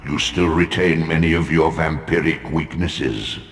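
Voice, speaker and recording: An actor playing an ancient godlike spirit mentor in a video game, with a deep, gravelly voice and slow, grave, oracular delivery. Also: male voice, fairly even intonation. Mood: instructive, grave. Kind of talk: dramatic video game voice acting. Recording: long cavernous reverb with music.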